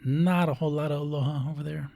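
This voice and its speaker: A man's voice: a little high, fairly even intonation, fast talker, gravelly, mellow. A middle-aged American man from Hawaii hosting a casual interview in a laid-back, colloquial way with joking asides. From Hawaii, United States. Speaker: interview host.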